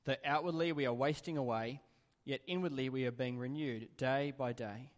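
Mid-pitched male scripture reader, steady and measured, in a church with a long hall reverb. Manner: steady, even-paced reading aloud. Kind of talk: measured Bible reading.

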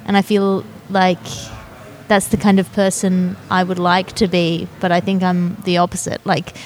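A woman's voice: lowish voice, flat delivery, moderate pace, poor audio. A woman from Australia with an Australian accent, hosting a podcast and talking in an articulate, self-deprecating way. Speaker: podcast host, comedian. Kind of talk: podcast conversation.

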